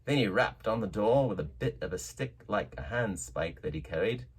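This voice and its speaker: Male audiobook narrator, slightly deep, swooping expressive pitch, theatrical phrasing, dramatic storybook reading. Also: moderate pace, slightly rough.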